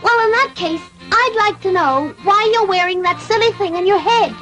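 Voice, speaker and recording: A woman playing a naive, polite, excitable little puppet boy in a dubbed classic cartoon, earnest and exclamatory. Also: extremely high pitch, normal speed, faint music behind. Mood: hesitant, nervous. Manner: earnest, exclamatory, formal phrasing.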